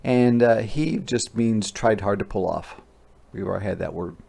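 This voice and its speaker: A man reading a storybook aloud as a teacher, expressively, pausing to explain words simply for children. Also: on the low side, creaky, warm tone, husky.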